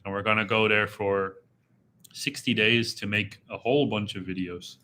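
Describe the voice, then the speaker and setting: A young man with a slight Irish accent hosts a relaxed live chat from a dim room, his voice bright and forceful. He rambles easily and slows down as he reads comments aloud. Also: lowish voice. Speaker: travel vlogger hosting a live Q&A. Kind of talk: casual live-stream chat reading viewer comments.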